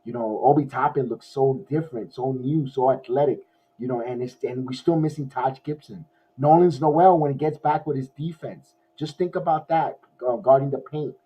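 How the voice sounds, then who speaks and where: A man hosting a casual post-game sports podcast, his voice hoarse, rambling with many restarts like an excited fan. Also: mid-range voice, moderate pace. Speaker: podcast host. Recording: dull lo-fi audio.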